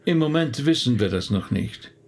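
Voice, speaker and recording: Actor as gravelly, thoughtful rabbi. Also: male voice, mid-range voice, expressive, normal speed, slight background noise, natural voice. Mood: polite, subdued. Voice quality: gravelly, husky. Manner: reflective, hesitant, polite. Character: thoughtful, courteous middle-aged male rabbi. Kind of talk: radio play dialogue.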